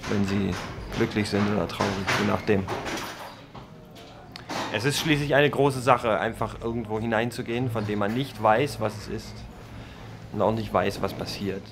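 Low-voiced man over background noise. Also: moderate pace, pauses often. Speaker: staff member of the attraction. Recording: noisy ambience behind.

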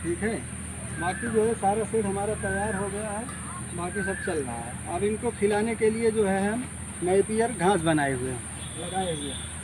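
A man's voice: high-pitched, expressive, normal speed. A man in his thirties showing visitors around his goat farm, explaining plainly and leaning on the same filler phrase over and over. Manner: plain, repeats filler "jo hai" often. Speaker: goat farmer giving a farm tour. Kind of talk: casual walking tour explanation. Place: outdoors on a rural farm among fields and brick sheds. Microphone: phone camera held by someone else, moving. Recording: loud outdoor noise with insects.

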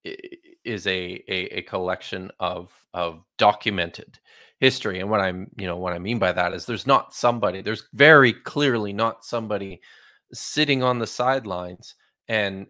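Guy in his 40s, scholar on a video call, lowish bright voice, lots of restarts, home office mic. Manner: thoughtful, many restarts and fillers. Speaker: biblical scholar guest. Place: home study lined with bookshelves. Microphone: studio mic on video call.

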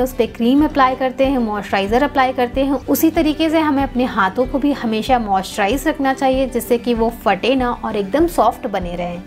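Calm young woman teaching beauty steps. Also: a little high. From India. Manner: calm instructive, mixing Hindi and English. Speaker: beauty channel host. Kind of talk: step-by-step beauty tutorial. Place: indoor home room.

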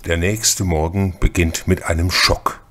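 A man acting as the solemn narrator of a crime radio play, deliberate and atmospheric in his scripted delivery. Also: low voice, level tone, bright, forceful tone, heavily acted. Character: solemn male narrator of a murder mystery.

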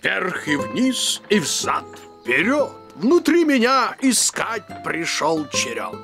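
A kindly old-salt elf pirate captain for a children's cartoon. He speaks slowly and theatrically, with huge swoops in pitch. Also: huge pitch swings, gravelly, pushed and bright, soft background music, exaggerated voice. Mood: genial. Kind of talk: Russian-dubbed children's cartoon acting.

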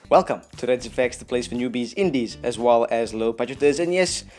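A man's voice: medium pitch, normal speed, a little mellow, soft background music. A young man presenting a casual tutorial in a jokey, self-deprecating, chatty way. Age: young adult. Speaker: host of a video effects tutorial channel. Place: small dim room indoors.

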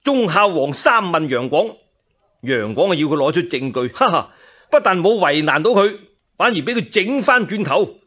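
A man's voice: on the high side, very animated, moderate pace, pushed and bright, husky. A man with a Cantonese accent narrating as a storyteller in a dramatic, traditional radio style.

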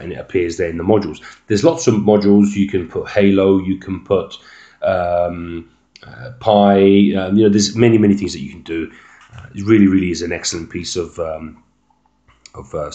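A man giving a casual, unscripted phone walkthrough as an amateur reviewer, explaining things in a halting way. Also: low voice, darkish tone.

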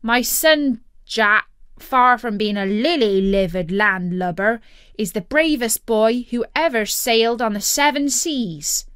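A woman voicing a pirate crewman carefully reading aloud in a measured, declamatory way, in a children's storybook. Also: on the high side, fairly bright tone, clear, resonant tone, no room sound. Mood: formal.

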